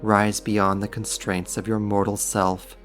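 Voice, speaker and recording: A man's voice: on the low side, little pitch movement, soft background music, close to an everyday voice. A man playing a smug, possessive archdemon in an ASMR roleplay, teasing and coaxing a human in an intimate, amused manner. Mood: smooth persuasion. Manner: teasing, smug, deliberate delivery. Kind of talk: ASMR roleplay acting, intimate second-person monologue.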